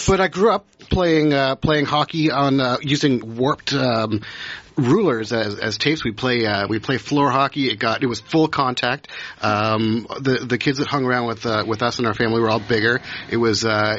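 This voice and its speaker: Halting Canadian man telling a story. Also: medium pitch, fairly bright tone, dry recording. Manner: halting, repeats words often. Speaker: panel guest, writer and journalist. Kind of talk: casual storytelling on a panel. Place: radio studio. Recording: muffled radio studio sound.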